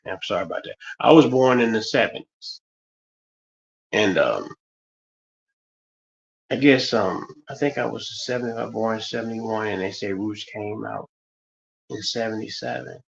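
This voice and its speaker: A man's voice: lowish voice, normal speed, gravelly, long pauses. A man in his fifties hosting a stream, talking in a loose, rambling way with lots of fillers and restarts. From United States. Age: 50s. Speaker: stream host. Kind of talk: casual monologue. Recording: slightly dull lo-fi stream audio.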